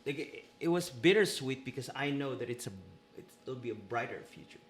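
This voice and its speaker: A man from the Philippines, hosting a casual podcast chat, animated and quick to laugh. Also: medium pitch, a little hiss or hum. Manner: animated, laughing, restarts a lot. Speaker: podcast host.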